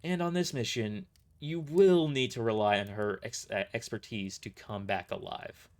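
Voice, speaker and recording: A man's voice: on the low side, moderate pace, a little mellow, lightly acted. A man voicing a nervous, sheepish male mercenary boss who speaks hesitantly and reluctantly in an audio drama. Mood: awkwardly blunt.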